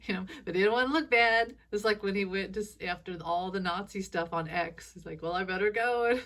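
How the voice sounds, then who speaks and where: A woman's voice: mid-range voice, brisk, fairly bright tone. A woman with a scratchy voice from allergies, rambling through a casual solo monologue as a psychic commentator, with chuckling asides. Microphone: phone.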